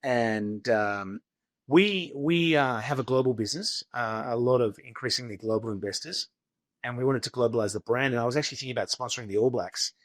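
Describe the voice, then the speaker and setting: A man's voice: medium pitch, a little fast, dry recording, clean studio sound. A man from Sydney with an Australian accent, talking informally and anecdotally as an interview guest. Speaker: interview guest, investment executive. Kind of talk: conversational storytelling.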